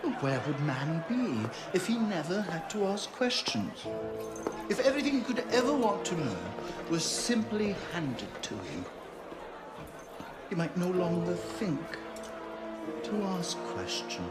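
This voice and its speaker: A man's voice: sits high, sing-song, measured pace, a loud crowd. A sly, theatrical king of thieves. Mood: sardonic. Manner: mocking, theatrical, drawling. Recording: music underneath.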